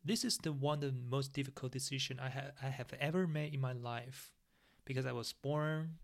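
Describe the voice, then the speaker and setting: Gravelly-voiced man hosting a solo podcast. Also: medium pitch, steady tone, moderate pace. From Taiwan. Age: 40s. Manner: earnest, plain, with frequent restarts in non-native English. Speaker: podcast host.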